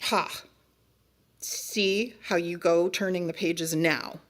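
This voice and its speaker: A woman's voice: fairly low pitch, fairly even intonation. A woman reading a picture book aloud as a frantic, bossy narrator who scolds and begs the reader in an exasperated, melodramatic way. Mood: exasperated, scolding. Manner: exasperated, melodramatic, emphatic pleading with drawn-out warnings. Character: frantic, bossy, pleading storybook narrator begging reader to stop. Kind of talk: dramatic children's picture-book read-aloud.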